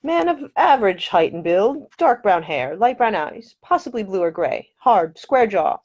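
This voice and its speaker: A woman's voice: medium pitch, very animated, unhurried, darkish tone, lo-fi sound, pitched above the performer's own voice. A woman voicing a sly, teasing young nobleman in a historical audiobook. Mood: matter-of-fact. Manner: teasing, wry.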